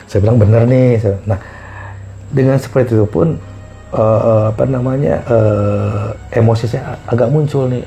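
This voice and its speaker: A middle-aged man telling personal stories casually as a podcast guest, often pausing and repeating himself to find words. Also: mid-range voice, creaky, mellow, faint music behind. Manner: halting, repeats phrases as he searches for words. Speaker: podcast guest recounting past experiences.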